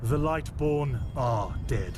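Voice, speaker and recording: An actor playing a blunt, weary master of a holy order in a fantasy game, dry and authoritative. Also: male voice, mid-range voice, expressive, takes it slowly, music in the background. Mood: grave, reluctant. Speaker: mentor leading novices to a trial.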